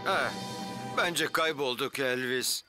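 A man's voice: medium pitch, slow pace. A man voicing a kindly, easily alarmed handyman dad in a children's cartoon, speaking expressively. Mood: doubtful. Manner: expressive, animated. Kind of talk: animated children's cartoon dubbing. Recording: music behind the dialogue.

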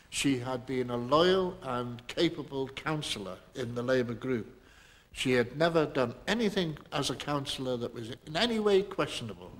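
Formal man in a reverberant room. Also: medium pitch. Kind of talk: formal documentary interview. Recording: reverberant hall sound, faint noise.

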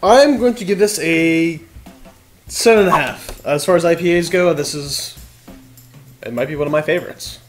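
A young man with a slightly raised pitch co-hosts a casual beer tasting in an indoor studio. He sounds relaxed and jokey and keeps dropping puns. Faint music sits quietly behind him. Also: normal speed. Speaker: show co-host. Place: indoor studio set with wooden backdrop.

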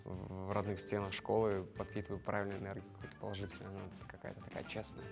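A man's voice: low voice, quick pace, vocal fry, over music. A young man from Kazakhstan in a studio interview, chatty and joking, wearing a lapel mic. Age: young adult. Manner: jokey, animated, laughs at himself. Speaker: show participant. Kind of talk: casual interview. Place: studio with grey backdrop.

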